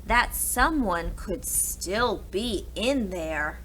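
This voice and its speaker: A woman voicing a big, gruff, grumbling male bear from a children's storybook, with growling delivery. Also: medium pitch, animated, very slow, a bit bright, a little hiss or hum, poor audio. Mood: wary. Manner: growling, roaring.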